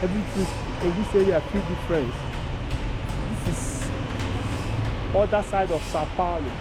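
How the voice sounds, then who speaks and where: A man from Nigeria with a Nigerian accent, casually narrating a walking vlog in simple, halting English. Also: high voice. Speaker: travel vlogger. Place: outdoors on a city street. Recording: noisy outdoor street, traffic ambience.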